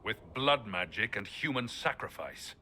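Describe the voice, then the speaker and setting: Grim warrior, low pitch, very bright edge, blunt, brooding, fantasy game, faint noise. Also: male voice, expressive, a little slow, heavily acted. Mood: bitter. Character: grim, bitter male hero, hard on mages. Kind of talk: fantasy game dialogue acting.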